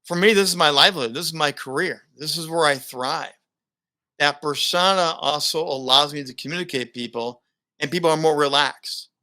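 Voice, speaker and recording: Man, middle-aged, bright forceful voice, fairly high, animated storyteller, laughs a lot. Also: moderate pace. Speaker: guest, broadcaster and keynote speaker. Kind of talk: casual interview conversation.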